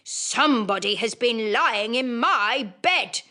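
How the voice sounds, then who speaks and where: Woman doing a slow, gruff great big bear. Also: on the high side, animated, sharp tone, heavily acted. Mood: gruff, indignant. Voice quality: rough, gruff. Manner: slow, heavy delivery. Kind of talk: storybook character voice.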